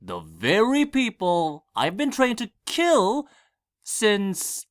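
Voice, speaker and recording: A man playing a glum, reluctant young priest in an anime parody dub, deadpan and sceptical. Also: high voice, takes it slowly, dry recording, studio quality, full character voice.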